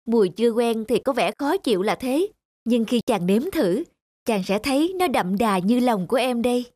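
A woman with a Southern Vietnamese accent voicing a gentle, wistful young wife in a cartoon folk tale. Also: fairly high pitch, animated, moderate pace. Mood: tender. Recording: music behind the voice.

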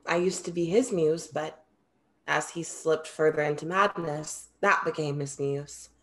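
A young woman acts out a perceptive muse in a play reading. The voice is on the low side, with formal, measured phrasing and a frank, composed manner. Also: fairly even intonation. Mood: gentle, frank. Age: young adult. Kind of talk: virtual staged play reading. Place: small room at home, video call. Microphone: video call.

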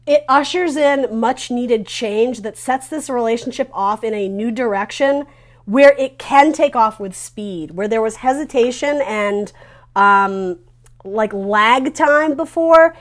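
A woman in her thirties giving a casual tarot reading, talking informally and reassuringly as she thinks aloud. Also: a little high. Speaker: tarot reader hosting a video reading. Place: small decorated room indoors. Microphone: lavalier clipped to T-shirt.

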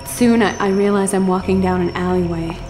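A woman's voice: medium pitch, steady tone, brisk, natural voice. Haunted, hesitant woman over music acted. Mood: frightened. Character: haunted, anxious young woman recounting nightmares. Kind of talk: audio drama acting.

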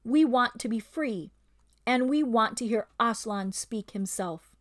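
A woman voicing a slow-witted, bewildered old bear who speaks plainly and grunts. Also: fairly high pitch, no echo, studio quality, close to an everyday voice.

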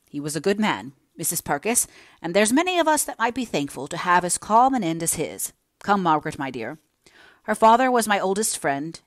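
A woman voicing a kind, fatherly older gentleman who speaks gently and courteously, read for an audiobook. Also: lowish voice, no echo, studio quality, close to an everyday voice. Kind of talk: audiobook character reading.

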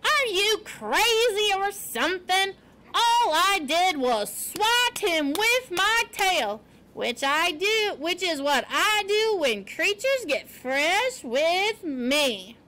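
A grouchy male porcupine from a children's storybook, acted out by a woman reading aloud. He is brash and blustering, with a high, sharp, bellowing delivery. His words come slowly and with heavy emphasis. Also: big pitch swings, exaggerated voice. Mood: brash, threatening.

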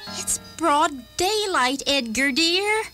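A woman's voice: extremely high pitch, lively intonation, slow pace, sharp tone, soft background music, full character voice. A woman playing a fussing, reassuring middle-aged wife in a dramatised story, coddling as she talks. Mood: soothing.

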